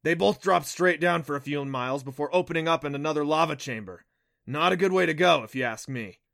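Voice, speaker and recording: A man voicing an impatient, gruff male kelpie, a magical water horse, in a storybook. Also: a little high, a little fast, fairly bright tone, pitched above the performer's own voice. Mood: grim, matter-of-fact.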